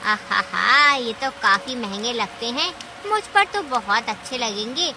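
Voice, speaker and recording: A woman's voice: fairly high pitch, lively intonation, measured pace, noisy background. A woman playing a greedy, scheming, easily panicked aunt animal in a cartoon story, with theatrical scolding exclamations. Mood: greedy, gloating. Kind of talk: Hindi cartoon story acting.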